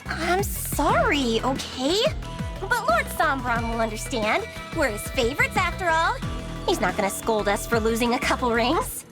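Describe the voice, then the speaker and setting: A woman's voice: high voice, sing-song, moderate pace, over music. A woman voicing a bratty, vain young girl villain in a video game, whiny and petulant. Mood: defensive whining. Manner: whiny, petulant, swings between boasting and wailing. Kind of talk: video game cutscene voice acting.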